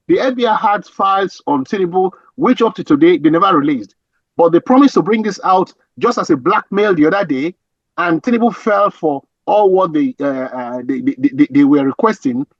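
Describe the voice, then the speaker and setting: A man with a husky voice, giving emphatic political commentary with many restarts and repeated phrases. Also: high voice, animated, moderate pace, no room sound, muffled recording. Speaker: guest commentator.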